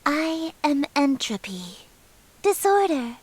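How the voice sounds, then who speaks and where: High-pitched, haughty eldritch queen. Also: very animated, slow pace, no room sound, heavily acted. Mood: grandiose, cold. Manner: formal, imperious, theatrical, condescending. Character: regal, haughty eldritch queen of chaos, menacingly sweet. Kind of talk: visual novel game character acting.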